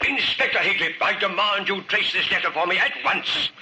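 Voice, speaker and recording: An actor in an old radio drama playing a proud, gallant suitor, formal and emphatic in manner. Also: male voice, high voice, animated, moderate pace. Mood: indignant. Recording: hissy, sharp old radio recording.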